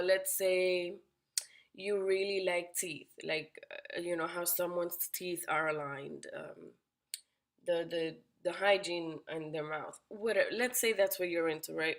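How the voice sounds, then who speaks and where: A woman giving a weekly tarot horoscope reading, direct and questioning, her voice raspy from being under the weather. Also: lowish voice. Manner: direct, questioning, addresses the listener by sign. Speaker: tarot reader.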